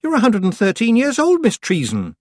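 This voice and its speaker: A man voicing an earnest young girl apprentice witch who protests quickly. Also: extremely high pitch, huge pitch swings, darkish tone, no echo, studio quality, voice pushed up, heavily acted.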